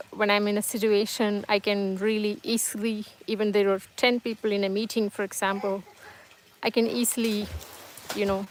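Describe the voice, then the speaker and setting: A woman whose first language is Tamil talks slowly and gently to her live viewers, pausing often and restarting her sentences. Her voice sits at an ordinary pitch, and a faint hiss of noise runs under it. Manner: hesitant, many fillers and restarts, gentle and reassuring. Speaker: livestream host. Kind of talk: casual live-chat monologue, reading comments.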